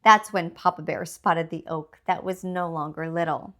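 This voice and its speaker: A woman reading a children's storybook aloud as its author, with deliberate, expressive scripted narration. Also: fairly low pitch.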